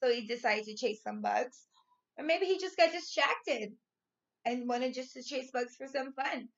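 A woman in her thirties, a primary school teacher reading and explaining to young children in an expressive, clearly enunciated way. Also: sits high, a little slow, dull audio. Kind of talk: read-aloud story and lesson for young children. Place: small furnished room at home, indoors.

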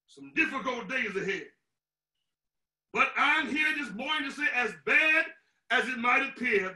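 A pastor in his sixties delivers a sermon in a very high, piercingly bright male voice. He is emphatic and declamatory, breaking his phrases with deliberate pauses. The sound is roomy, picked up some way from the microphone. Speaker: pastor preaching. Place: small room at home. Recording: roomy echo, distant microphone.

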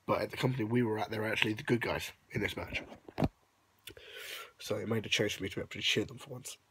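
A young man with a hoarse, worn-out voice, unwell and sniffly, casually recapping in a rambling vlog, lying in his bedroom and talking into a phone held at arm's length. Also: on the low side, quick pace, background noise. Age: young adult. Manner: rambling with many ums, upbeat. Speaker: vlogger. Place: bedroom at night, lying on a bed. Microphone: phone held close at arm's length.

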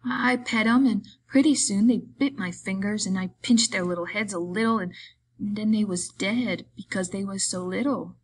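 A woman voicing a big, simple-minded, childlike man who speaks in halting, folksy ungrammatical phrases, meek and pleading, in an audiobook story. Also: mid-range voice, pitched above the performer's own voice, lightly acted, poor audio. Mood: sad, apologetic.

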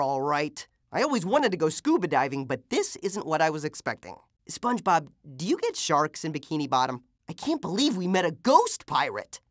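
A man's voice: sits high, fairly bright tone, dry recording, clean studio sound, heavily acted. A man voicing a plucky, wisecracking teenage half-ghost boy superhero with a youthful voice for a video game. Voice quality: youthful, boyish. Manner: exclamatory, wisecracking. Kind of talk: video game character voice clips.

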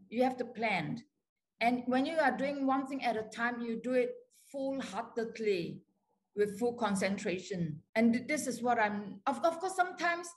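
A woman's voice: fairly high pitch, poor audio. An older woman on a video call, answering interview questions thoughtfully with many restarts and fillers. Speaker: guest lecturer. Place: bright office, indoors.

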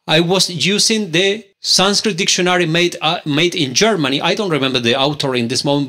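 A man's voice: fairly high pitch, pushed and bright. Indignant Spanish-accented man, close mic. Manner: indignant, emphatic, repetitive rhetorical questions. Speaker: religious scripture teacher. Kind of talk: online scripture study, argumentative. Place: indoor room, screen-share with webcam. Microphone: pink handheld mic on stand, close.

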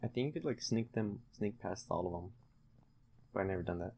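A young man giving casual, halting, low-key commentary while concentrating on playing a video game. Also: creaky, a little mellow, a little hiss or hum. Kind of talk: casual let's-play commentary.

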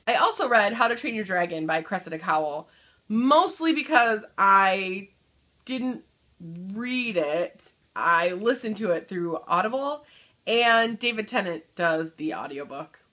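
A woman's voice: medium pitch, animated, measured pace, bright, forceful tone. A woman in her thirties chatting casually to camera in a small room at home, upbeat and chatty, trailing off at the ends of her thoughts. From Michigan. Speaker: book vlogger.

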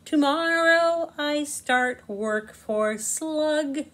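A woman voicing a meek, sleepy little male bug from a children's storybook, speaking slowly and drawn out. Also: high voice, a bit bright, voice pushed up, heavily acted. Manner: slow, drawn-out storybook delivery.

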